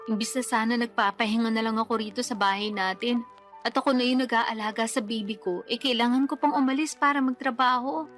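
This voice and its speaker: A woman's voice: a little high, steady tone, fairly quick, fairly bright tone, natural voice. A woman playing an exhausted, resentful young single mother in a radio drama, over faint music. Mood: weary. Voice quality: weary, complaining, defensive. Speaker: the letter sender in the scene. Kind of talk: Tagalog radio drama acting. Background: faint background music.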